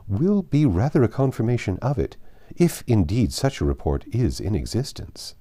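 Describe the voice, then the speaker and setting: A man voicing a composed young gentlewoman in an audiobook, politely unyielding, with a measured, cool edge. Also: on the low side, very animated. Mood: cool disdain. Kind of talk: audiobook character voice.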